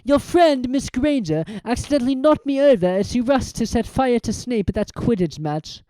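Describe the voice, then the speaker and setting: A woman's voice: fairly high pitch, lively intonation, moderate pace, mellow, pitched above the performer's own voice, natural voice, poor audio. A woman voicing a cold, sneering male teacher villain in a storybook reading, cool and mocking. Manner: cool, mocking, mock stutter.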